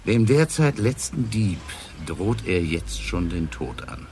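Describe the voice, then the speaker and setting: An actor playing a sceptical, brooding middle-aged police inspector, dry and musing, in a naturalistic German radio crime drama. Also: male voice, low-pitched, sing-song, takes it slowly, noisy background, full character voice. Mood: pensive, doubtful.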